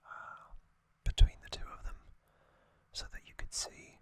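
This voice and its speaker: An ASMR host speaking in a soft, breathy, mostly unvoiced whisper, gently recapping in short phrases with lingering pauses, close to the microphone. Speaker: host of a whispered trivia channel.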